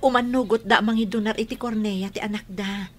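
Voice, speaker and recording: A woman's voice: mid-range voice, fairly even intonation, fairly quick, soft background music, close to an everyday voice. A woman playing a devoted, urgent mother in an Ilocano radio drama, earnest and emotive. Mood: relieved.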